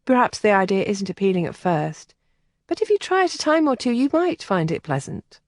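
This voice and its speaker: Woman voicing a gentle, composed lady. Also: medium pitch, big pitch swings, brisk, no echo, clean studio sound. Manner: gentle and matter-of-fact. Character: composed, caring older female companion. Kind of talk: audiobook character voicing.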